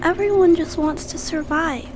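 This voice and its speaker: High-pitched, gentle little girl. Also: moderate pace, over music. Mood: hesitant, defensive. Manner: soft, hesitant, polite, pleading. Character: gentle, earnest little girl raised by a robot. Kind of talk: scripted video game cutscene dialogue.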